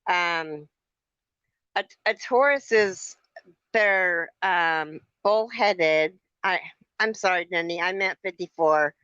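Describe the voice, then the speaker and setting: A woman's voice: lowish voice, pushed and bright, smooth. A woman in her fifties chatting casually on a live stream, rambling and often correcting herself. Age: 50s. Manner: rambling, self-correcting, quick to laugh. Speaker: host of a crochet live stream. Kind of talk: casual live chat with friends and viewers. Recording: dull, echoey room sound.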